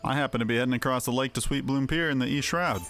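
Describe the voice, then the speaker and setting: A man's voice: mid-range voice, fast, fairly bright tone, soft background music, close to an everyday voice. A man voicing a small, timid but earnest moogle creature in a fantasy video game. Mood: reluctant.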